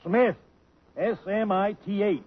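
A playful, meddling male boarder in an old radio comedy. His fairly high voice tosses out dry quips. The broadcast is muffled with some background noise. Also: takes it slowly. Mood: wry, teasing. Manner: dry, quipping. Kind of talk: 1950s radio comedy acting.

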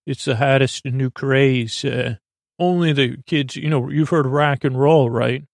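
A gravelly, streetwise imaginary pal acted out by a man works like a wheedling old-school huckster. He pitches to a kid in a folksy way on a comedy podcast, in a creaky mid-pitched voice recorded dry. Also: measured pace, no room sound. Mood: eager pitching. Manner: streetwise salesman, calls listener "kid".

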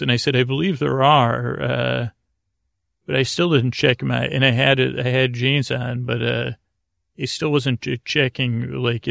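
A man with a creaky voice hosting a bedtime podcast, rambling soothingly and whimsically, stammering and circling back on himself as he goes. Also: medium pitch, a bit bright, no room sound, studio quality. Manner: meandering, halting, stammering, repeating himself; soothing, whimsical, absent-minded. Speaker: podcast host. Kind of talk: rambling bedtime monologue meant to lull listeners to sleep.

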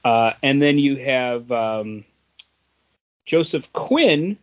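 Slow-talking man, halting delivery, dull audio. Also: mid-range voice, lively intonation. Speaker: podcast co-host. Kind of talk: casual chat about movie and comics news.

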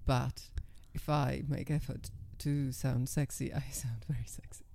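A middle-aged woman guest with a naturally low, calm and level voice, speaking in a measured, self-assured way. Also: gravelly. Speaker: guest, professional dominatrix. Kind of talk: casual interview talk.

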